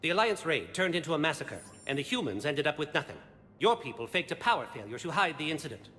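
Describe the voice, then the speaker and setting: A man's voice: fairly high pitch, big pitch swings, fast talker, bright, forceful tone. A man voicing an intense, confident alien special agent in a video game, clipped and formal in delivery. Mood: urgent, formal. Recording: long echoing reverb, background noise.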